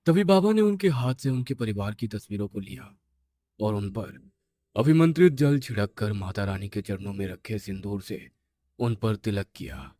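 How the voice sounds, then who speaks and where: A man's voice: mid-range voice, mellow, dry recording. A man narrating a scripted horror story as the storyteller.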